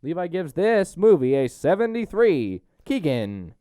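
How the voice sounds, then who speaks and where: Animated man hosting a podcast. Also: on the high side, unhurried, smooth, dry recording, clean studio sound. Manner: animated, trying to keep unruly co-hosts on track. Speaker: podcast host. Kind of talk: casual chat reviewing a film.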